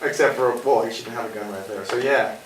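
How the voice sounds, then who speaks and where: A man's voice: medium pitch, fairly quick. A man in his twenties, a playwright and director, speaking informally and jokily at an audience Q&A. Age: 20s. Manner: rambling, jokey. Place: indoor performance space, recorded from afar. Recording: echoey room, distant mic, noisy.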